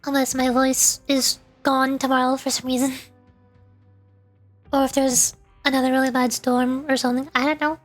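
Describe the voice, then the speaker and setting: A woman's voice: sits high, level tone, moderate pace, faint music behind. A woman chatting casually on a gameplay livestream, playful and given to saying cute sound-effect words aloud. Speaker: VTuber game streamer.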